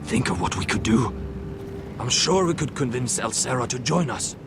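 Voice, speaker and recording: An actor playing a grave young northern warrior, measured and worried as he speaks. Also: medium pitch, animated, vocal fry, noisy background. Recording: game audio with music score.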